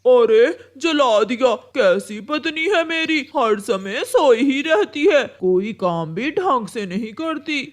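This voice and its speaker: A woman's voice: on the high side, big pitch swings, takes it slowly, few pauses. A woman voicing a cruel, bullying crow husband in a cartoon story, scolding and barking orders. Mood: angry. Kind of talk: Hindi cartoon story acting.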